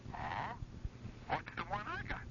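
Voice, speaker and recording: An actor in a 1970s radio drama playing a shady, slow-witted caller with a halting, insistent manner. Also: male voice, sits high, huge pitch swings, exaggerated voice. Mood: wary, puzzled. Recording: noisy, dull old radio broadcast.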